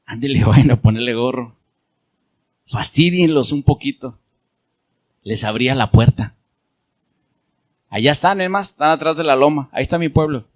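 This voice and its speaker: A man preaching a sermon with a Mexican Spanish accent, rising to loud emphatic peaks and dropping to soft low asides as he acts out prayers. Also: expressive, normal speed, long pauses. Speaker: pastor.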